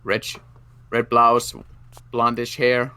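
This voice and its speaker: A man playing a wounded sniper survivor in an improvised zombie roleplay game, speaking in a halting, defensive way. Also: mid-range voice, monotone, sharp tone, clean tone. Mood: sore, defensive.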